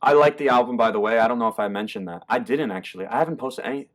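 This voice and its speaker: A man's voice: mid-range voice, very fast. An American man explaining song lyrics in a loose, rambling, casual way, often restarting his sentences. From United States. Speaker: YouTuber explaining German rap lyrics.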